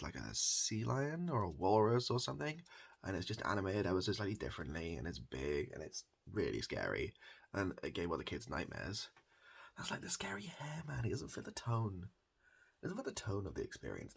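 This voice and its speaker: A soft-spoken, breathy British man improvising an ASMR comedy roleplay as a barber, rambling hesitantly with deadpan absurdity, repeated phrases and swearing. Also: lowish voice.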